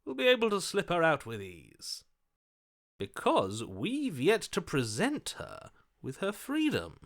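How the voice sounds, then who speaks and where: A man's voice: sits high, huge pitch swings, slow, a bit bright, exaggerated voice. A man voicing a lovestruck, melodramatic romantic hero in a video game, speaking in a theatrical, florid, overwrought manner. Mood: ardent, persuasive. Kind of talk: video game character voice acting.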